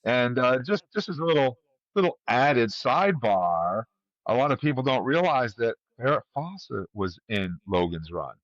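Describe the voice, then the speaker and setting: A man's voice: medium pitch, sing-song, normal speed, dry recording. Man hosting, slightly dull lo-fi sound. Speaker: podcast host running a trivia quiz. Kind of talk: casual chat and quiz hosting.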